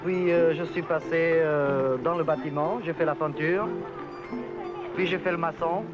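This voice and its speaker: A man, an immigrant worker being interviewed, speaking haltingly with many pauses. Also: a little high, fairly even intonation, highs cut off, over music. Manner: halting, full of fillers.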